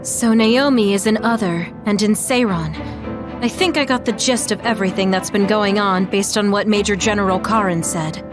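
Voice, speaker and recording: A woman voicing a kind, caring young soldier in an anime-style game, speaking gently and with concern. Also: medium pitch, moderate pace, music in the background, lightly acted.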